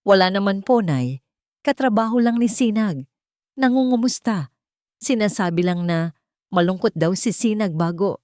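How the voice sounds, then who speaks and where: A woman's voice: medium pitch, expressive, moderate pace, long pauses, a little mellow, no room sound, lightly acted. A respectful young man with a Filipino accent, acted out by a woman storyteller, speaks hesitantly and dutifully in a narrated drama. The sound is dry and close. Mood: evasive, uneasy.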